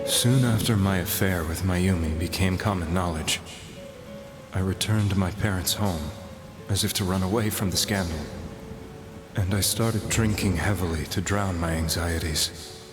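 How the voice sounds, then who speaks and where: Music plays behind a low-pitched, haunted man as acted for a video game. He is a despondent young adult weighed down by guilt, confessing his story gravely and haltingly. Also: lightly acted. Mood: somber, haunted. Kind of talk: dramatic video game voice acting, confessional monologue. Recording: background music under the voice.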